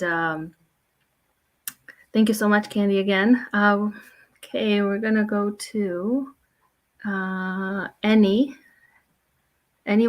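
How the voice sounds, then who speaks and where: A woman moderating a group video call. She thanks each speaker and talks with many "uh" fillers. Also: mid-range voice.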